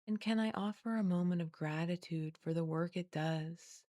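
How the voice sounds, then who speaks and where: A woman guiding a body scan meditation in a gentle, soothing, unhurried way, leaving long pauses between scripted phrases. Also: on the low side, no echo, clean studio sound. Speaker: guided meditation teacher.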